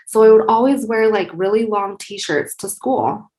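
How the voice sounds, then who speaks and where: A young woman from Taiwan, a guest in an interview, telling her story in a lively, casual way with plenty of "like" and "you know". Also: medium pitch, unhurried. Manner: lively storyteller, many likes and you knows.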